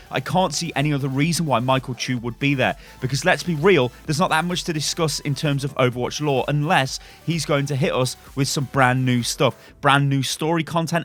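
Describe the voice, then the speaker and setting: A man giving casual gaming news commentary as a YouTuber, chatty and excitable, with rhetorical asides to his viewers. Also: a little high.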